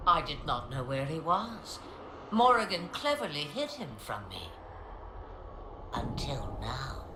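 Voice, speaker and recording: A woman's voice: low-pitched, big pitch swings, takes it slowly, noisy background, exaggerated voice. An older woman playing an ancient, commanding witch in a video game, theatrical, with grand and archaic phrasing. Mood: commanding, mocking. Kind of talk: dramatic video game acting. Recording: roomy reverb with music behind.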